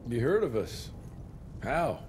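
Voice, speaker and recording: A man playing a gruff, world-weary vampire hunter in a western video game, terse and blunt. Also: mid-range voice, very animated, takes it slowly, noisy background. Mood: urgent, curious. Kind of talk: video game cutscene acting.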